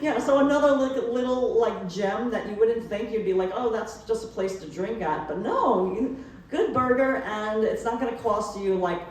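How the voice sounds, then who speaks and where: A woman's voice: on the high side, moderate pace, darkish tone, slight background noise. A woman with a New York accent talking casually at a book event. She rambles, with many fillers and restarts. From New York City. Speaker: author and photographer. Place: event room. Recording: roomy, distant from the mic.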